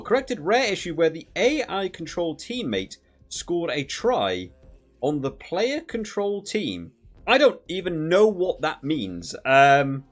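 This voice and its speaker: A man hosting a video game review with casual, rambling, conversational commentary. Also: fairly high pitch.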